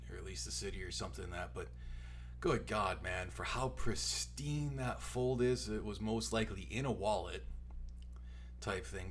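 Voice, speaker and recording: A man giving casual, emphatic, sweary commentary as a video creator, with dramatic asides. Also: fairly low pitch. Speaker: video creator reading out his online argument. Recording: noisy recording with clear hum.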